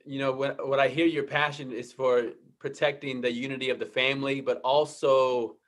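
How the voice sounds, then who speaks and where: A man hosting an interview, responding in a warm, affirming, reflective way and often stumbling over repeated words. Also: medium pitch, level tone, moderate pace. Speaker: interview host. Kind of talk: conversational interview.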